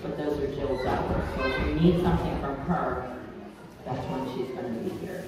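Older female pastor, unhurried announcements, distant pulpit mic in a reverberant sanctuary with room noise. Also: very deep voice. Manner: homely, unhurried, with frequent ums. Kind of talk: church announcements and liturgy. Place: church sanctuary. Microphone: pulpit mic from a far camera. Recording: echoey church from far off, noisy.

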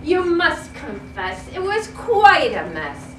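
Shrill, squawky storybook birds played by a woman, panicked and pleading at a very high, swooping pitch. The room echoes around the voice. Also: huge pitch swings, slow, recorded from a distance, slight background noise, exaggerated voice.

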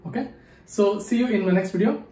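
A man's voice: high-pitched, brisk, warm tone. A man in his thirties with an Indian English accent, speaking to camera as a channel host and reciting number words one at a time. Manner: counts number words one at a time, with tones that rise and fall. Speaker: memory athlete, channel host. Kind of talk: to-camera video with a slow, deliberate recitation. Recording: echoey room.

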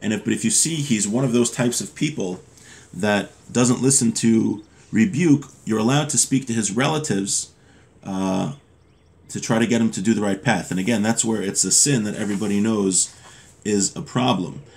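A man's voice: lowish voice, normal speed, gravelly, a little mellow. A man in his thirties giving an informal lesson on religious law, reading from a text and explaining it step by step. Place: small home room with bookshelves, indoors.

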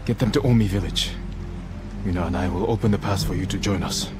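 A man's voice: sits low, fast, natural voice. A man playing a brooding, defiant young samurai in a video game, speaking in a grave, resolute and terse way. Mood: low grave resolve. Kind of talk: dramatic video game acting. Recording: loud music behind.

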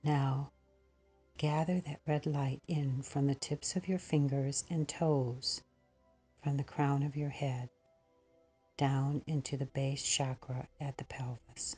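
A woman leading a slow guided meditation in a breathy, husky voice that often nears a whisper, pausing between short phrases. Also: a bass voice, fairly even intonation, mellow, faint music behind.

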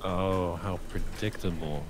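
Male reader as stern, controlling man, deep voice, noticeable background noise. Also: steady tone, slow. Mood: scornful. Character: stern, controlling men: a detective, a scornful drug dealer. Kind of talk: script table read.

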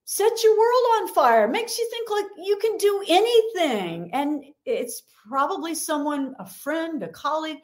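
Woman, middle-aged, high voice, animated pitch, leadership coach, energetic podcast guest. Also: measured pace, hardly any pauses. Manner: energetic, acts out quoted voices. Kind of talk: podcast interview.

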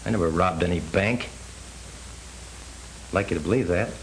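A young American framed for a crime, proud and earnest. His voice sits fairly low. It is 1930s film drama on a faintly hissy soundtrack. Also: moderate pace, poor audio. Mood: earnest, restrained. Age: young adult. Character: wronged young ex-convict, earnest, proud former football player.

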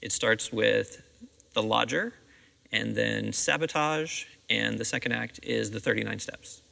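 Middle-aged American man, interviewed director, very creaky voice, recorded in a reverberant auditorium. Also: on the low side, unhurried, sharp tone. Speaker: school theatre director being interviewed. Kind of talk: conversational interview answers. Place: seated on the stage of a theatre auditorium. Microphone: clip-on lapel microphone.

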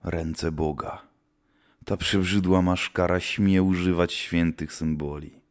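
A man's voice: deep voice, fairly even intonation, takes it slowly. A man playing a grim, seasoned witch hunter who speaks solemnly, like an old-time storyteller, in a scripted audio drama. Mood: grim, reminiscing.

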